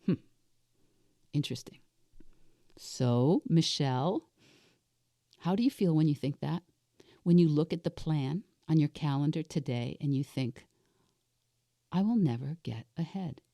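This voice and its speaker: A woman in her sixties with a raspy voice, slightly under the weather, coaching herself aloud on a solo podcast in a deliberate, reflective way. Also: sits low, expressive, mellow, no echo, clean studio sound. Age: 60s. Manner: deliberate, reflective, asks and answers own questions. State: unwell with a cold-like illness. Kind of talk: self-coaching monologue, thinking aloud.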